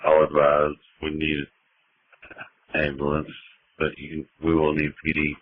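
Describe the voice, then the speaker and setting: A low-pitched man speaks as a fire incident commander over a two-way radio. The sound is narrowband and muffled, with a dry close feel, and his calls are short and procedural. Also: monotone, a little slow, no echo. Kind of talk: emergency radio traffic, terse procedural calls. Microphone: radio handset.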